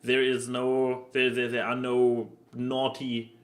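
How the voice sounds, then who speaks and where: A man co-hosting a podcast, talking casually and opinionatedly about cartoons in a halting way, repeating words as he makes his point. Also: medium pitch, completely flat delivery. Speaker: podcast co-host.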